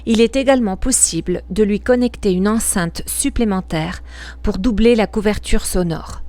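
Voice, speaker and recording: Woman doing promo video voiceover, mid pitch, clear measured scripted read. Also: a little mellow. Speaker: promotional narrator. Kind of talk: scripted product video narration.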